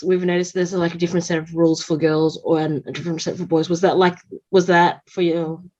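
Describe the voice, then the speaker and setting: A woman's voice: lowish voice, little pitch movement, brisk, a little mellow. A young woman interviewer asking questions hesitantly, often rephrasing as she goes. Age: young adult. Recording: slightly dull lo-fi sound.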